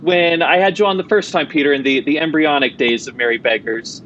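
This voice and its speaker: Male host, somewhat high voice, chatty and relaxed, muffled lo-fi audio. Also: a little fast, slight background noise. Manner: relaxed and chatty with filler words. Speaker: interview host. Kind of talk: casual interview chat.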